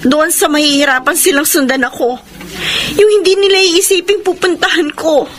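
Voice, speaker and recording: An actress with a Filipino accent playing a defiant, distressed young daughter in a radio drama. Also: female voice, high voice, normal speed, a bit bright, a little hiss or hum.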